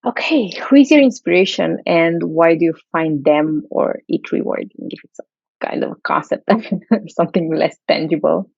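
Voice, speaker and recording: Gravelly-voiced woman podcast host. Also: sits low, moderate pace, no echo. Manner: soft, thoughtful, warm questioning with light laughs. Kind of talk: podcast interview. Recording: slightly dull lo-fi sound.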